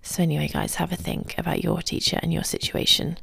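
A woman hosting a solo podcast, talking calmly and quietly, often close to a whisper, recorded at home early in the morning. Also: sits low, brisk, creaky, slightly rough, no room sound. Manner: soft, calm and quiet, often near a whisper. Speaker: podcast host and ballet teacher. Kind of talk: solo podcast advice talk.

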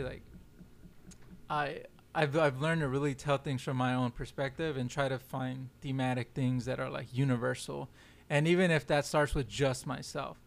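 A man's voice: medium pitch, vocal fry. A young man whose first language is Spanish, a film director guesting on a podcast and talking casually in loose, slangy speech full of "like" and "yo.". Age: young adult. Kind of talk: casual chat.

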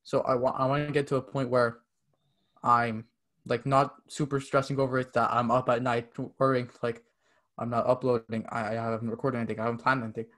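A man's voice: medium pitch, little pitch movement, a little fast, fairly bright tone. Rambling young man from Canada. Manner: rambling, many "like" fillers, acts out quoted texts. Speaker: podcast guest. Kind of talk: casual interview chat.

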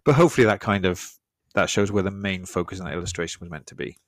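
A man's voice: low voice, quick pace, no echo, clean studio sound. A man, a preacher, talking over his sermon in a casual church podcast chat, thoughtful and self-deprecating, often repeating words.

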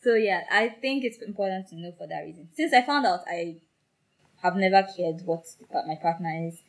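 A young woman from Nigeria in casual chat as a podcast co-host, animated and emphatic, often laughing. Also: lowish voice, fairly quick.

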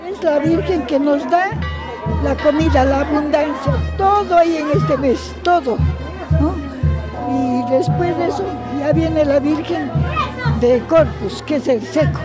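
A woman's voice: high voice, unhurried. A woman with a Cusco Andean Peruvian accent, chatting casually in a street interview. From Cusco, Peru. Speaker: local festival devotee. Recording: loud music and crowd behind. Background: festival music and crowd.

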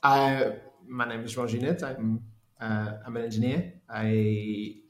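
A man's voice: mid-range voice, little pitch movement, a little slow, roomy sound. A man from Portugal in his thirties, a race engineer answering interview questions in a hesitant way with many restarts. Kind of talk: conversational interview.

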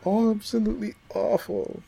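A man's voice: high-pitched, fairly even intonation, moderate pace, creaky, mellow, husky. A man co-hosting a podcast in loose, casual chat about pop culture. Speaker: podcast co-host.

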